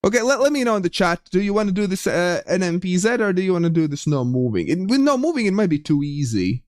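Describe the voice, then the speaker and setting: A man's voice: high-pitched, sing-song, fast talker, a little mellow, dry recording, studio quality. A young man with a light Latvian accent, streaming a geography game and chatting casually with viewers while reading the chat aloud. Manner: chatty, reads chat aloud, frequent restarts.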